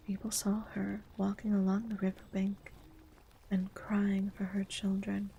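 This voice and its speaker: A woman whispering softly, chatting and reading a legend in an ASMR stream, with a feminine voice on the low end of the register. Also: slight background noise, rough recording. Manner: soft unvoiced whispering.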